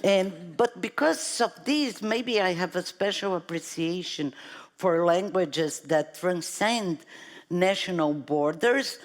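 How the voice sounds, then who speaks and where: A woman's voice: lowish voice, expressive, slow pace. A woman from Brazil with a Brazilian Portuguese accent, a native Portuguese speaker, speaking thoughtfully as an artist on a conference panel and sometimes searching for words. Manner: thoughtful, searching for words, self-deprecating humor.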